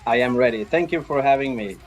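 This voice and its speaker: A man from Sweden giving casual, halting interview answers as a band's drummer. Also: mid-range voice, muffled recording, soft background music. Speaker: band drummer being interviewed.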